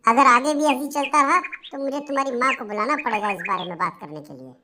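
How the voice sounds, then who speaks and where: A stern schoolmaster bird in a cartoon tale, acted out by a woman. The voice is fairly high and authoritative, with clear noise and birdsong in the background. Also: fast, close to an everyday voice. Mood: scolding. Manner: scolding, authoritative.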